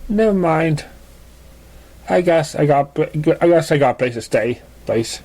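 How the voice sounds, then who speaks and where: A shy young stallion from a pony storybook, played by a man, talks in a raised pitch. He is awkward and self-conscious, close to an everyday voice. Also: lively intonation, unhurried, darkish tone. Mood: hesitant.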